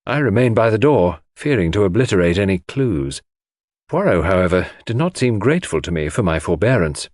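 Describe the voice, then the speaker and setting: A man's voice: on the low side, very animated, brisk, darkish tone, dry recording, studio quality. A man narrating a story in the first person as a scripted audiobook.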